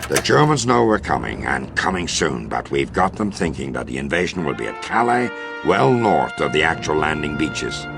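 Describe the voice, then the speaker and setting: A very low, commanding American officer, delivers a formal mission briefing in a war video game. His speech is measured and authoritative, with prominent music underneath. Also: very animated, gravelly, exaggerated voice. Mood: grave, resolute.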